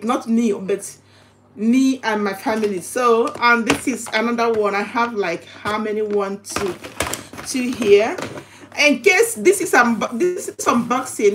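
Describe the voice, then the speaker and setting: Slow-talking woman vlogger, noisy home recording. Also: on the high side. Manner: halting, repetitive, chatty delivery. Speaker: livestream vlogger. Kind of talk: casual unboxing livestream chat to viewers. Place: home kitchen.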